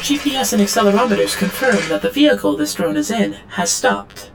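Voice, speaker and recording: A woman's voice: fairly high pitch, sing-song, a little fast, heavy background noise. A woman playing an obedient female servant drone in an audio drama, reporting in a stilted, clinical third-person manner. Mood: clinical, detached. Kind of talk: scripted audio drama monologue.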